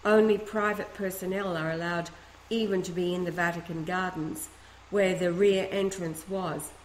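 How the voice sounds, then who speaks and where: A woman reading a first-person letter aloud in a steady, earnest way, with a few small restarts. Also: on the low side, normal speed, slight background noise. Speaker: reader of a letter. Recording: echoey room, faint ambience.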